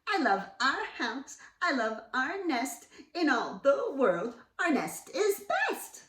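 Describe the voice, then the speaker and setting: An emotional little father bird from a picture book, acted out by a woman reader. The voice is high and swoops expressively, with exclamations and stammers. It is recorded at some distance in an echoey room. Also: big pitch swings, takes it slowly, a bit bright, heavily acted. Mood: proud, cheerful. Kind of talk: children's picture-book read-aloud. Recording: roomy echo, distant mic.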